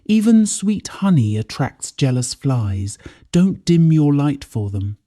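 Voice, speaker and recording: A woman's voice: low voice, very animated, slow pace, mellow, no echo, studio quality, heavily acted. A woman storyteller voicing a wise, protective village mother who gives her daughter tender counsel. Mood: tender reassurance. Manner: tender, proverb-laden counsel. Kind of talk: storybook character voice.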